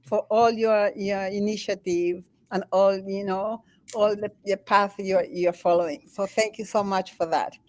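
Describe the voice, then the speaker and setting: An older woman from Chile with a Chilean Spanish accent, talking in an interview as a guest. Her native language is Spanish, and she speaks haltingly, with many restarts and frequent laughs. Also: medium pitch, steady tone, darkish tone. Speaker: guest, spiritual teacher. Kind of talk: conversational interview. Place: home in the Andes.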